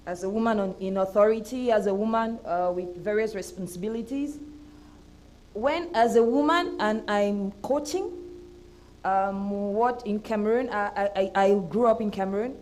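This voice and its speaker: A woman from Burundi speaking from the audience at a workshop panel, working through her point in halting, searching phrases. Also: mid-range voice, a little mellow, rough recording. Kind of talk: spontaneous comment from the floor. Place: conference hall.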